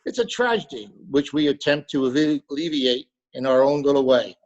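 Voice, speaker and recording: A man's voice: medium pitch, brisk, lightly acted. A man playing a pompous, salesmanlike lonely hearts broker in old-time radio drama style. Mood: solemn, businesslike. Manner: salesmanlike, florid. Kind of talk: 1940s radio drama acting. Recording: dull, lo-fi remote call audio.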